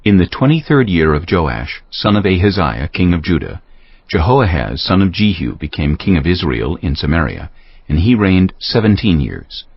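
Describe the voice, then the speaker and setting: Super deep guy reading bible audiobook, solemn n dramatic, lots of swing, bit muffled, music under. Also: sing-song, moderate pace, slightly rough. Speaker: audiobook narrator. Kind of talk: dramatic scripted scripture narration. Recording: dull audio with music behind.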